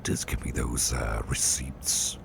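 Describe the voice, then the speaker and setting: A courteous, soothing businessman boss, acted out by a man in an ASMR roleplay. His voice is extremely low and full of heavy fry, hushed almost to a whisper. He takes his time, gently repeating polite phrases, with noticeable noise behind him. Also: flat delivery, slow pace, exaggerated voice. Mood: obliging, pleased. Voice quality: very deep, gravelly, breathy near-whisper. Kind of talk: soft-spoken ASMR roleplay.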